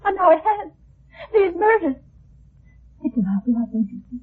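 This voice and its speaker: A woman's voice: very high-pitched, big pitch swings, moderate pace. An actress playing a frightened, fragile, haunted young woman in a vintage radio melodrama, speaking hesitantly and fervently with a tremor. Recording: muffled lo-fi old radio recording.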